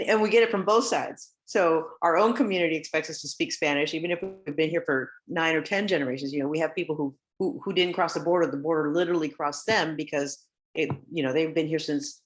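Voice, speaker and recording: A woman from the United States, a judge on a webinar panel, talking in a lively, conversational, anecdotal way. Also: low-pitched, brisk. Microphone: video call.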